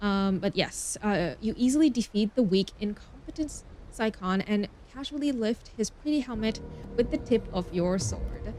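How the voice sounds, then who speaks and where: A woman game streamer reading story text aloud, stumbling over words now and then and breaking off into expressive asides. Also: medium pitch, normal speed, slight background noise. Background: music.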